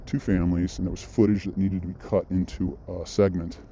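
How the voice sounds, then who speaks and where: Deep, dark-voiced man telling a story. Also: flat delivery, fairly quick, a little hiss or hum. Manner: rambling, with frequent restarts and asides. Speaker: vlogger. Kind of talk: casual storytelling monologue.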